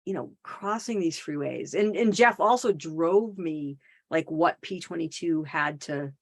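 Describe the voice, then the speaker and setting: A middle-aged woman with a slightly low voice, a podcast guest telling a story. She talks in a loose, chatty way, full of fillers and restarts, with dry jokes at her own expense. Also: measured pace. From Boston area, Massachusetts. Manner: chatty storyteller, self-deprecating humor, frequent fillers. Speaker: podcast guest, wildlife advocate. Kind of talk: casual conversational interview.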